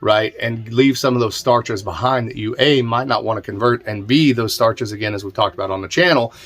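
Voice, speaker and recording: A man's voice: medium pitch, a little fast, a bit bright. An American man hosting a podcast, talking casually and plainly as he explains things and answers questions in an informal, self-deprecating way. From southern Indiana, United States. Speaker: host of a distilling podcast and channel.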